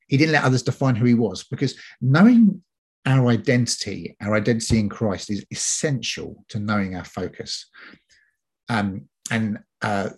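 A man's voice: on the low side, darkish tone, breathy edge. A man giving an informal, conversational sermon, explaining in a chatty, hesitant way and often repeating himself.

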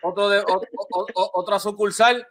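A man's voice: high-pitched, fairly even intonation, moderate pace, a loud crowd. A man hosting a casual talk, often stammering and repeating words. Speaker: podcast host. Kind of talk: casual chat.

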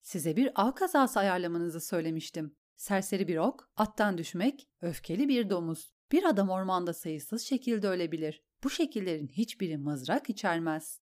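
A woman's voice: on the low side, lively intonation, normal speed, few pauses, darkish tone, natural voice. Woman reading a cold, scornful queen. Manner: imperious, cutting.

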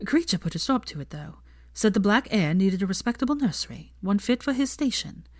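A prim, earnest young expectant mother in audiobook dialogue , acted by a woman reader. Her voice sits lifted and soft, with a light lilt and an awkward warmth. The sound is close and dry. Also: medium pitch, very animated, brisk, no echo, pitched above the performer's own voice, lightly acted. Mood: amused.

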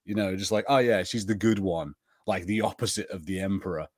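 A man's voice: sits low, brisk, a little mellow, no echo, studio quality. A man co-hosting a podcast, chatting casually about TV and tentatively thinking aloud. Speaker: podcast co-host. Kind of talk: casual TV review chat.

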